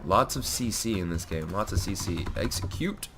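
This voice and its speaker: A man's voice: low-pitched, lively intonation, moderate pace, over music. A young man with a cold, giving rambling casual commentary on his own gameplay, with lots of fillers and self-corrections. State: has a cold.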